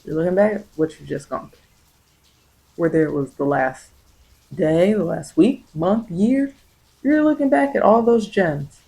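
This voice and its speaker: A tarot reader speaks at a middling pitch in an improvised ASMR style. The delivery is halting and reflective, with phrases repeated and reworked as the reading unfolds. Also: expressive, vocal fry, darkish tone, low-quality recording.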